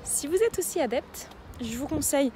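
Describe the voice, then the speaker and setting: A woman's voice: high-pitched, lively intonation, normal speed, noisy background. A woman of about thirty chatting casually and effusively as she films a travel vlog. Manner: enthusiastic, effusive. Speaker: travel vlogger and dog trainer.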